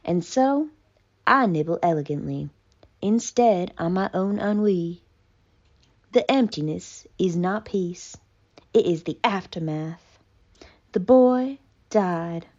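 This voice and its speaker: Woman playing a pompous, slow-spoken tragic cat diva. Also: lowish voice, animated, a little mellow, lo-fi sound, heavily acted. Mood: weary, mournful. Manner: pompous, mock-tragic, deliberate pauses. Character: haughty, melodramatic, traumatized female cat diva. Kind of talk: theatrical dramatic monologue.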